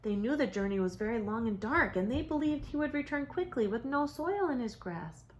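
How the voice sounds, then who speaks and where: A woman reads a children's story as a storyteller for young kids, in a dramatic manner with drawn-out words and hushed moments. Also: fairly high pitch, expressive, highs cut off. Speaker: storybook reader for preschoolers. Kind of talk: expressive children's story narration.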